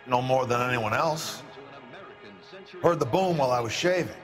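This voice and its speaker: A man's voice: mid-range voice, expressive, moderate pace, soft background music, natural voice. A man playing a cocky, slangy security chief. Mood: cocky, evasive. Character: brash, slippery male head of aircraft company security. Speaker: head of security. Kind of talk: video game cutscene acting.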